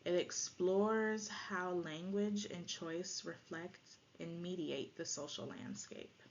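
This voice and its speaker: A woman who natively speaks Jamaican Patois gives an explanatory academic presentation, often checking in with "right?". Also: on the low side. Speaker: presenter, lecturer. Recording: dull lo-fi audio, faint noise.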